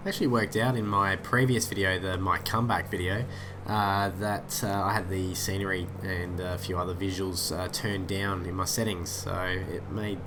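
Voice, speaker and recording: A lower-pitched man, nasal and stuffy from a head cold, talks his way through a flight simulator session. He rambles, fills gaps with "uh", and drifts off on side stories. There is a steady hiss of noise behind him. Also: normal speed, hardly any pauses. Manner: rambling, many "uh" fillers, easily sidetracked. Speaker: flight sim YouTuber. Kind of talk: casual gameplay commentary.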